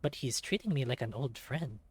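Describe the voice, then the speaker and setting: A man reading a visual novel aloud as an expressive narrator. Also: medium pitch, normal speed, slightly rough.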